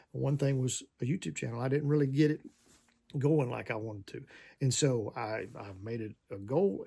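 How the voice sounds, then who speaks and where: A man's voice: mid-range voice, vocal fry, a little mellow. A man of fifty-nine talking casually to his viewers, rambling with lots of fillers and restarts. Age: 50s. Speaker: vlogger. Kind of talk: casual talk to camera.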